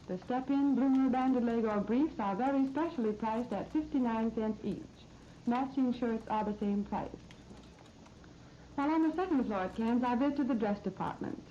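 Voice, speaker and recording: An American woman presenting scripted 1930s radio shopping advertising in a polished, promotional manner. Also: on the high side. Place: radio studio. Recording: muffled, noisy 1939 radio disc recording.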